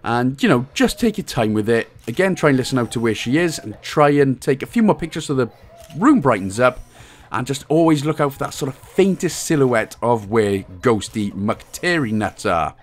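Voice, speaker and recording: A man's voice: medium pitch, very animated, moderate pace. A man with a Welsh accent giving casual, chatty, slangy walkthrough commentary on a video game as the guide's host. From Wales.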